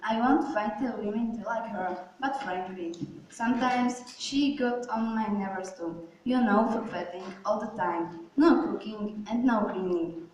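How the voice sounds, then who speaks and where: A woman's voice: medium pitch, measured pace, darkish tone, highs cut off, natural voice. Woman playing grumbling duke in an echoey hall. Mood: frank, grumbling. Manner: irritable, blunt complaining. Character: grumbling, blunt male duke, former plowman, widowed. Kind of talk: amateur puppet show dialogue. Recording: echoey hall, distant mic, background noise.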